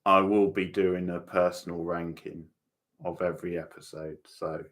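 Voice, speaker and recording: A man's voice: low-pitched, flat delivery, moderate pace, a bit bright. A man giving casual live watchalong commentary as host, chatty and informal, reacting in short bursts.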